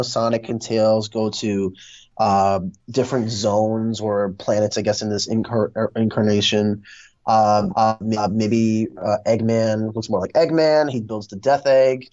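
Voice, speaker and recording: A man's voice: fairly low pitch, steady tone, normal speed, a little mellow. Man chatting casually, dull lo-fi sound. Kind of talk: casual movie discussion.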